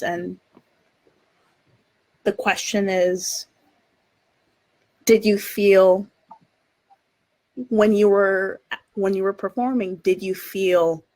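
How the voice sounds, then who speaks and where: A woman moderating a live online discussion, speaking in a halting but effusive way. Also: medium pitch, poor audio. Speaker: event moderator.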